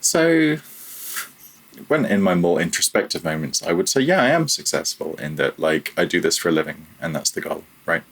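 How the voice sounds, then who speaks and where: A man's voice: low-pitched, normal speed, creaky, a bit bright, breathy edge, rough recording. A man chatting casually as a podcast guest, self-deprecating and a little hesitant in how he phrases things. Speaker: podcast guest, freelance audio programmer. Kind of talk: casual interview chat.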